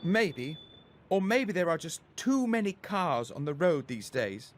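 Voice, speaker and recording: A man's voice: on the high side, very animated, a little slow, a little hiss or hum, voice pushed up. A man voicing a boy's weary, mildly exasperated but affectionate mother in a children's story.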